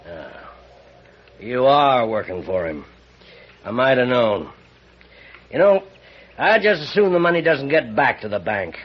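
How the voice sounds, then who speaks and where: Folksy, greedy American rancher, muffled. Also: male voice, mid-range voice, very animated, a little slow, long pauses. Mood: suspicious, greedy. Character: bitter, greedy, folksy older male rancher. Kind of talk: old-time radio western acting. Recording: muffled, noisy old radio recording.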